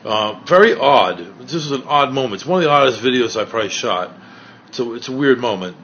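A man's voice: medium pitch, lively intonation, brisk, a bit bright, a little hiss or hum. A man with a New York accent, chatting loosely with strangers and recapping on video. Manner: chatty, loose, rambling with fillers. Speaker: street video creator. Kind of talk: casual street chat and vlog recap. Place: outdoors in a city park. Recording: dull lo-fi street recording.

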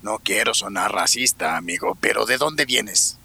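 Indignant suspicious man, gravelly, raspy, defensive, audio drama. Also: fairly low pitch, lively intonation, moderate pace, pushed and bright, exaggerated voice. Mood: defensive, wary.

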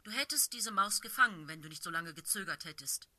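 Blaustern, a stern she-cat leader from a storybook, as a woman reads her, commanding and firm, her tone bright and sharp with a forceful edge. She speaks with the weight of a clan's leader, and her every word carries judgment. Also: fairly low pitch, lively intonation, fairly quick, exaggerated voice. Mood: stern, approving.

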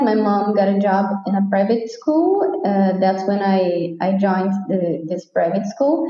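A woman with a Brazilian Portuguese accent speaks slowly, with a mellow tone and frequent hesitant fillers. Her voice comes through a dull, lo-fi connection with a long, roomy echo. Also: mid-range voice. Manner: hesitant, many "uh" fillers, laughs shyly. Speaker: guest, English teacher. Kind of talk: interview answers.

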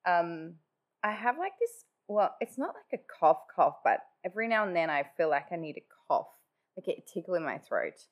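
Nasally congested woman, low voice. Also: very animated, normal speed, lo-fi sound. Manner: chatty, many "like" fillers. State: just woken up. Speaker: podcast host. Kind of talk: casual solo podcast chat.

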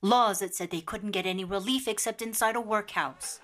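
An actress playing an earnest, principled female charity collector in a radio drama, speaking gravely. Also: mid-range voice, moderate pace, fairly bright tone, natural voice. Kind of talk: radio drama narration and acting.

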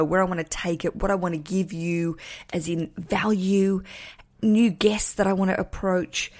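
A woman with a croaky voice talking alone on her podcast, informal and self-mocking, with the odd swear. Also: on the low side. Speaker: podcast host. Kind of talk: solo podcast talk.

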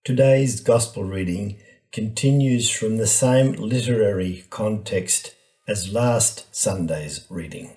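A man's voice: fairly low pitch, a little mellow. A man, a priest, giving a reflective homily on a scripture text.

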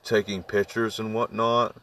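A man with a deep voice telling a spooky personal story to friends, halting with many fillers and restarts. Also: flat delivery, fairly bright tone, recorded from a distance. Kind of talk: casual ghost story among friends.

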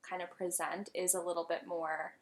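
A woman's voice: lowish voice, flat delivery, moderate pace. A woman in her twenties co-hosting a casual podcast, chatty and informal, with a self-deprecating way of talking. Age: 20s. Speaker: podcast co-host, business owner. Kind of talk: casual podcast chat between friends.

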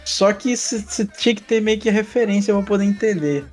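Animated Rio-accented man telling stories. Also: sits high, normal speed, faint music behind. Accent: Rio de Janeiro. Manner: animated storyteller, sings a joke samba lines. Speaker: podcast co-host. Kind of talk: casual chat.

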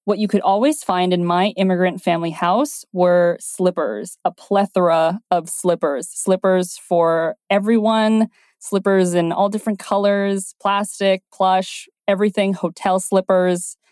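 A woman's voice: mid-range voice, fairly even intonation, measured pace, darkish tone, dry recording, studio quality. A woman born in China who hosts a casual podcast and opens the show in an upbeat, friendly way. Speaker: podcast host. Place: home, Toronto.

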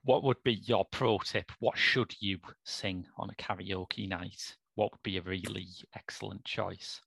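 Gravelly, low-voiced man, dry wit. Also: fairly even intonation, unhurried, a bit bright, dry recording. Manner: dry, wry humor, thoughtful. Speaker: podcast co-host. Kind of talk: casual chat.

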